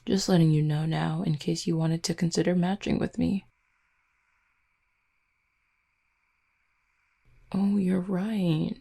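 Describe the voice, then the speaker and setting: A woman voicing a flirty, composed female werewolf second-in-command in an audio roleplay, teasing and measured, with a low, husky voice. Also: steady tone, warm tone. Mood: playful, teasing. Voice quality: low, husky, breathy with some creak. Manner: teasing, measured, deliberate wording. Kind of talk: roleplay audio drama, intimate one-sided dialogue.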